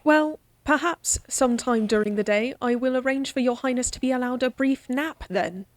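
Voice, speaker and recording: A woman playing a candid, devoted lady-in-waiting in a fantasy audio drama, speaking formally with a gently teasing, coaxing manner. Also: high-pitched, moderate pace, dry recording, natural voice. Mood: gentle, fond.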